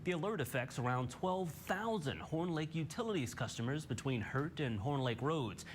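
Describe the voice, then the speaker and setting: A man's voice: fairly high pitch, expressive, moderate pace, slight background noise. An American man anchoring morning television news, reading scripted stories in a studio.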